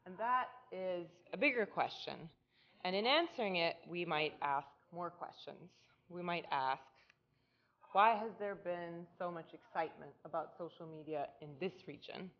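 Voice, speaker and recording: A woman's voice: fairly low pitch, moderate pace, muffled recording, slight background noise. A woman in her thirties giving a public lecture in a hall, talking to the audience in a conversational way and often checking in with "right?". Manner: self-described loud voice.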